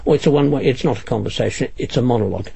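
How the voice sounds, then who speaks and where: A man answering as an interviewed expert in a measured, precise, guarded manner. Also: medium pitch, creaky, warm tone, husky, no echo.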